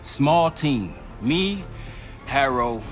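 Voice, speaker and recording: A man's voice: mid-range voice, lively intonation, drawn-out words. A man playing a terse, matter-of-fact and somewhat defiant military field operative in a video game. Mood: focused. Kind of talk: video game cutscene acting. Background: music.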